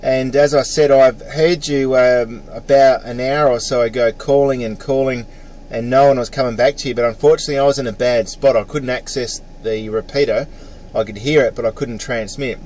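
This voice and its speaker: A man's voice: medium pitch, fairly even intonation, normal speed, darkish tone, low-quality recording. A middle-aged Australian man in a casual ham radio chat, matey in his phrasing and practised with phonetic call signs. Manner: mate-peppered, spells call signs phonetically. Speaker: amateur radio operator.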